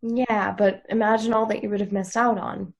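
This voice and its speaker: A woman's voice: mid-range voice, fairly even intonation, normal speed, creaky. A woman playing a frank, teasing yet supportive young woman in a TV drama read, conversational in delivery. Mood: sincere, supportive.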